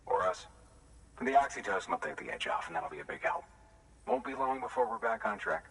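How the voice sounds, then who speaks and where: An actor playing a weary way station worker in a video game, earnest and emotional, frustrated yet hopeful, with halting delivery. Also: male voice, fairly low pitch, very animated, gravelly, a bit bright, husky, distant mic, exaggerated voice. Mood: subdued, grateful. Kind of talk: dramatic video game acting.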